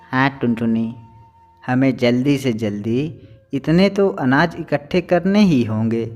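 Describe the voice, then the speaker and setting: Man acting a dutiful cartoon crow husband, medium pitch, earnest, measured, faint music behind. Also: a little mellow, close to an everyday voice. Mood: earnest, resolved. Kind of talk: Hindi children's cartoon dubbing.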